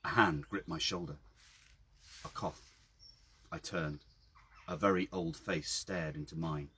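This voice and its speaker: A man with a husky voice reading his own story aloud outdoors, in a dramatic storytelling style. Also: low voice, fairly even intonation, measured pace, bright, forceful tone. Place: outdoors by a well.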